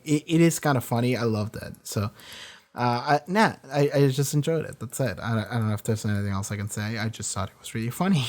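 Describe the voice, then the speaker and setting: A man talking casually and off the cuff as a reaction host, rambling in an animated way with frequent swearing and acted-out asides. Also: mid-range voice. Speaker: reaction video host and streamer. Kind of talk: casual off-the-cuff episode discussion.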